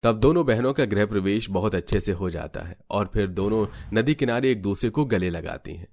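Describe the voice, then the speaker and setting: Deep-voiced man narrating a story. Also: animated, a little fast, a little mellow, no echo. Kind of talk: scripted cartoon story narration.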